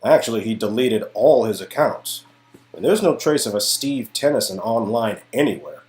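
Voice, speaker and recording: A man's voice: lowish voice, lively intonation, normal speed, darkish tone, faint music behind, close to an everyday voice. A man playing skeptical, sardonic friends in an amateur reading of game dialogue, exclaiming and sometimes hesitant. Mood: suspicious.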